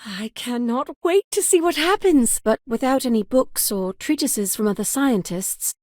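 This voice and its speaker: A woman's voice: fairly high pitch, animated, normal speed, no echo, studio quality, close to an everyday voice. An actress playing a curious, courteous woman scientist in a science fiction video game, speaking earnestly in formal, old-fashioned phrasing. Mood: thoughtful. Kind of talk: scripted video game dialogue.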